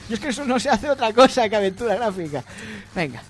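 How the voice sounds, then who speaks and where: A man reads video game news on a radio show and keeps breaking off to tease his co-hosts and laugh. His voice is high for a man. Soft music plays under the talk. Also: big pitch swings, fast talker. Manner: teasing, laughs often.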